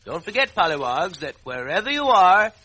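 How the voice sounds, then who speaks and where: A male actor playing a wise, kindly talking fish with a hoarse throat and quaint nautical speech, in an old children's radio drama. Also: high-pitched, sing-song, moderate pace, pushed and bright, exaggerated voice, low-quality recording. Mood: earnest advice. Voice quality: hoarse, foghorn-like sore throat. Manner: avuncular, quaint nautical exclamations.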